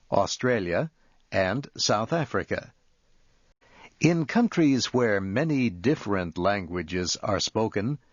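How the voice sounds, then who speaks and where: A man reading a scripted educational narration for English learners in a deliberate, measured, clearly enunciated manner. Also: medium pitch, no echo. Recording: muffled lo-fi audio.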